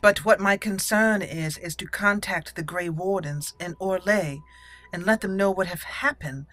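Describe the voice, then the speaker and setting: A woman hosting a role-play game playthrough, speaking deliberately and theatrically in character, with casual asides. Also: medium pitch, a bit bright, no room sound. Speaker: gaming channel host.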